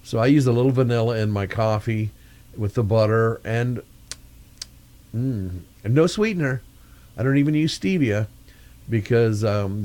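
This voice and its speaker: A man's voice: fairly low pitch, darkish tone. An older man talking casually in a meandering video diary, often searching for words. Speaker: vlogger. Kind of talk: casual rambling vlog talk.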